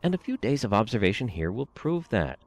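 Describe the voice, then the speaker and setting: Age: older. Figure: a man playing a coaxing, worried husband. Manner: low voice, very animated, reassuring. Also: a little fast. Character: concerned elderly husband, gentle and coaxing.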